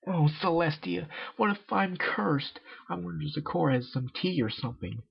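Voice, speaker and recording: A man's voice: on the high side, animated, normal speed, vocal fry, slightly rough, voice pushed up, full character voice. A man voicing a brash, boastful female pegasus pony in a fan story reading, with dramatic exclaiming. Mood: worried. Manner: dramatic, self-important exclaiming. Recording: dull lo-fi home recording.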